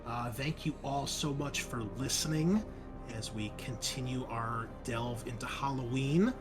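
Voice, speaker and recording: A man's voice: medium pitch, unhurried, over music. A man chatting casually as game master and host after a tabletop game, in an informal, rambling, sometimes sweary way.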